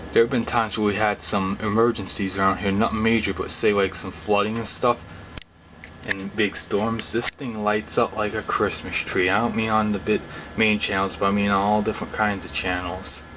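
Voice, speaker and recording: A man's voice: fairly low pitch, flat delivery, moderate pace. A young man talking casually to camera about his hobby in a rambling, informal, folksy way. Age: young adult. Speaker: hobbyist vlogger. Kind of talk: casual explanatory talk to camera. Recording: clearly noisy background ambience.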